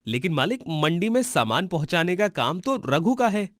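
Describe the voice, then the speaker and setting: A man's voice: sits high, big pitch swings, moderate pace, a bit bright, no echo, studio quality. A man playing a meek old cartoon labourer. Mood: meek, weary. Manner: submissive, pleading. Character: meek, poor old male farm labourer, pleading. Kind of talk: Hindi cartoon story voice acting.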